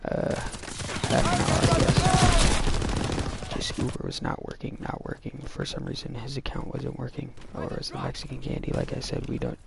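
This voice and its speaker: A young adult man telling a personal story casually, in a rambling, halting way. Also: medium pitch, huge pitch swings, gravelly, very noisy.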